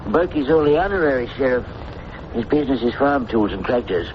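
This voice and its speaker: A man's voice: medium pitch, expressive, brisk, close to an everyday voice. A male actor plays an old, earnest small-town official in a vintage radio play. He is formal and stammering, with an imploring politeness. The recording is muffled and plainly noisy. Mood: earnest, apologetic. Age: older. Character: earnest, fussy elderly small-town supervisor, polite and pleading. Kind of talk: 1950s radio drama acting.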